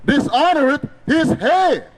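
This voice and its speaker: Man, very high pitch, declamatory scripture reader, deliberate drawn-out phrases. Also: big pitch swings, noisy background. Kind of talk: loud declamatory Bible reading.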